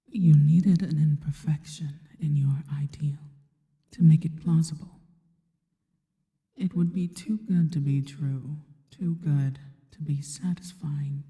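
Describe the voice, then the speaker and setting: A seductive spider woman, acted by a woman whispers intimately in an ASMR roleplay. Her breathy voice is very dark and unhurried, devoted and deliberate. It trails off into long, hall-like reverb. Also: sits low, dull audio. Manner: slow, intimate, deliberate phrasing.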